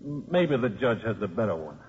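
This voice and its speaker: A man's voice: lowish voice, sing-song, fairly quick. Blunt, scrappy father, muffled radio. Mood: gentle, resigned. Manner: blunt, colloquial. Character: scrappy, plain-spoken, devoted father. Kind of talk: 1950s radio acting. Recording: muffled, hissy 1950s radio recording.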